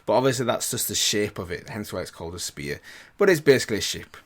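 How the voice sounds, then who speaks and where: A man's voice: on the low side, expressive, fast talker, fairly bright tone. A man hosting a reaction video with casual commentary, thinking aloud and repeating himself.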